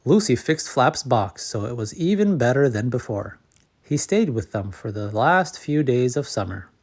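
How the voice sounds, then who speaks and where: A man narrating a children's storybook as host, in a measured, expressive read-aloud manner. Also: mid-range voice. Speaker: storybook reader and channel host.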